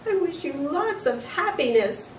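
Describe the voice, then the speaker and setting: A woman voicing a small, earnest pet hamster with a squeaky manner in a children's story. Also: big pitch swings, measured pace, a little mellow. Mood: earnest, eager. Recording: roomy, distant mic, noisy.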